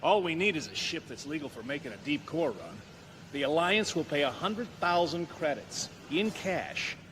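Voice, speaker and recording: An actor playing a cocky, roguish smuggler pilot, brash and wisecracking, in a space-opera audio drama. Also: male voice, a little high, expressive, moderate pace, heavy background noise, close to an everyday voice. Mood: bargaining.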